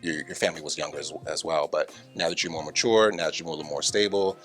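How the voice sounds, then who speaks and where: An American man with a deep voice hosts a casual interview. He responds in an encouraging, affirming way and muses conversationally, with music underneath. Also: quick pace, a bit bright. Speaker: interview host.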